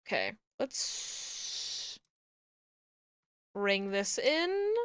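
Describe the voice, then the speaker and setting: A young woman with a New Jersey accent, chatting casually on a live stream while she works, murmuring to herself and then greeting viewers with loud squeals. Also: mid-range voice, huge pitch swings, extremely slow, clean tone, dry recording. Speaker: VTuber streamer rigging a model.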